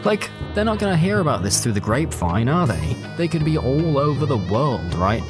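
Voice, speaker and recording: A man's voice: medium pitch, very animated, moderate pace, music in the background. A man reading a scripted comic first-person story with wry, conversational asides.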